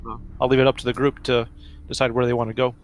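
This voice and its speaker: A man with an average-pitched voice chats as a player in a tabletop game. His delivery is casual and a bit hesitant, pausing to think between phrases. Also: little pitch movement.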